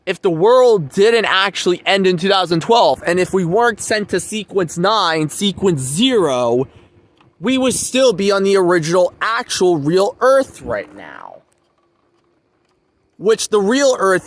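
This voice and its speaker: A man's voice: high-pitched, big pitch swings, unhurried. A man giving a rambling, informal monologue to viewers, repeating his phrases for emphasis. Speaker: vlogger.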